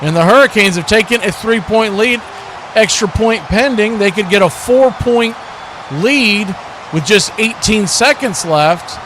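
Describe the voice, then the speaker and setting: Male sports commentator, pitched a bit high, conversational live football calls and analysis, recorded over noticeable ambient noise. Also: unhurried. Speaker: play-by-play commentator hosting a watch party stream.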